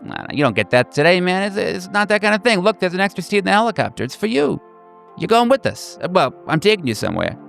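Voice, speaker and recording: A man voicing a smarmy, deeply sarcastic government agent who talks in a mocking, fake-chummy, insistent way for a tabletop horror game. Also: big pitch swings, fast, gravelly, a bit bright, soft background music, heavily acted.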